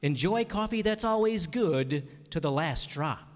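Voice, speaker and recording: A polished 1950s radio announcer, performed by a man reading with sweeping, declamatory flair. His voice sits high for a man. The sound rings out into a long hall reverb. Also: lively intonation, a little slow. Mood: upbeat.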